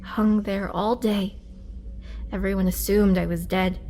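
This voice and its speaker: Slow, halting vampire woman prisoner, acted by a woman. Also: medium pitch, steady tone, dark tone, a little hiss or hum, close to an everyday voice. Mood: bitter, wry. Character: lonely immortal vampire woman prisoner, young-looking, wry and pleading. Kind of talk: dramatic girlfriend roleplay audio acting.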